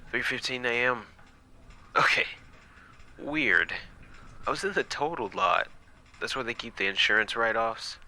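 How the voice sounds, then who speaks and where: A man playing an exhausted, frightened night watchman recording halting horror-story log entries, dramatic and sometimes breaking into whispers. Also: fairly low pitch, pushed and bright, exaggerated voice. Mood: uneasy. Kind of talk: first-person horror audio log narration.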